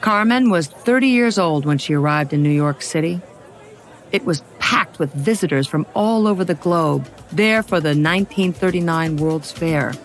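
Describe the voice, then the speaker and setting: A woman reading a scripted biography for children as a narrator, with expressive, dramatic storytelling. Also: lowish voice.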